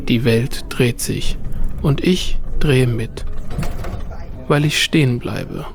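Man acting a slow, weary, introspective bureaucrat. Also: mid-range voice, gravelly, noisy background. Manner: measured, introspective, terse phrasing. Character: weary, solitary male bureaucrat with quiet grief. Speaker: narrator and lead actor in an audio drama. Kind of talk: first-person narrated radio play.

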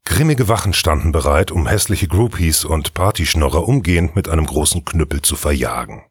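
Deep, husky male storyteller. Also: animated, brisk, no echo, studio quality. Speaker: storyteller, narrator. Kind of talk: scripted fairy tale narration.

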